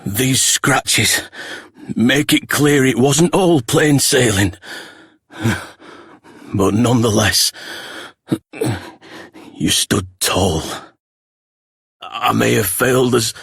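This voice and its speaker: An actor playing a dying, regretful adventurer father in a video game, speaking weakly in a husky, breathy voice with halting, tender solemnity. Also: mid-range voice, fairly bright tone, no echo, studio quality, full character voice. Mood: admiring, solemn. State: weak, dying. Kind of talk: video game dramatic voice acting.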